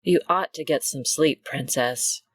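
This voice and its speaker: Very low-voiced, sober Amazon doctor played by a woman. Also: measured pace, husky, no room sound. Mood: concerned. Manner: formal, matter-of-fact. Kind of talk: 1940s radio serial acting.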